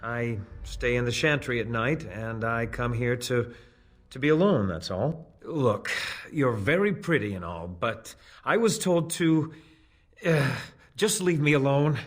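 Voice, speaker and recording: An actor playing a nervous, evasive hired spy in a video game, hesitant, defensive and pleading. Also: male voice, mid-range voice, lively intonation, unhurried. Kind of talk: video game character dialogue.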